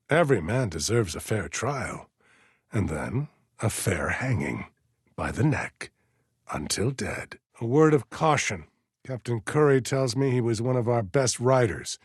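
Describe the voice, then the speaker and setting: An actor playing a stern, pious, authoritarian militia colonel in a video game, middle-aged and husky, giving measured orders with righteous solemnity. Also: male voice, mid-range voice, no room sound, studio quality, exaggerated voice. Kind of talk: dramatic video game voice acting.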